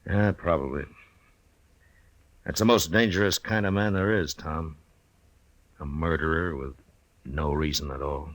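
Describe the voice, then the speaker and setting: An American actor playing a stern, weary, steady Old West marshal in a 1950s radio western. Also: male voice, rumbling low, a little slow, pauses often. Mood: weary, grave.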